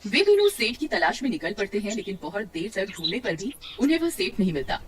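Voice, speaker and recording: A woman's voice: mid-range voice, big pitch swings, fast talker, husky, distant mic. A woman storyteller reading scripted narration for a cartoon story.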